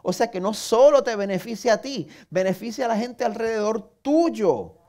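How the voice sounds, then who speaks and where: A man's voice: sits high, animated, normal speed, dark tone. Male pastor, Puerto Rican accent, earnest sermon delivery, direct rhetorical questions, a touch of self-mocking humor. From Puerto Rico.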